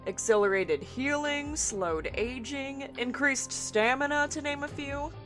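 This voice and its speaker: A woman voicing an excitable young scientist in a fan audio drama, animated and prone to giddy laughter. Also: high-pitched, measured pace, soft background music. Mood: explaining. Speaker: scientist character.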